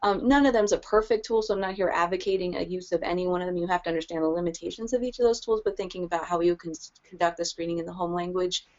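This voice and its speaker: A woman's voice: on the low side, fast talker, hardly any pauses, a little mellow, dull audio. A woman presenting practical advice in a webinar, friendly and explanatory, with light laughs. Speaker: early childhood language specialist presenting.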